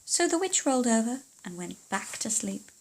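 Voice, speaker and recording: A woman narrating a children's fairy tale as an audiobook reader, theatrical and measured with deliberate pauses. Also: a little high, big pitch swings, darkish tone, rough recording.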